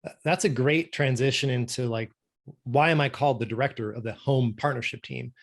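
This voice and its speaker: A man's voice: mid-range voice, a little fast, creaky. A man originally from Norway, a podcast guest explaining things patiently and conversationally, often checking in with tag questions. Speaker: guest, company director.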